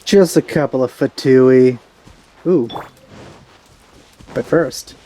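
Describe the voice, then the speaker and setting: A man giving casual gameplay commentary, hesitant and rambling as he thinks out loud. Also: mid-range voice, slow. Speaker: let's player. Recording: game music behind voice. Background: video game music.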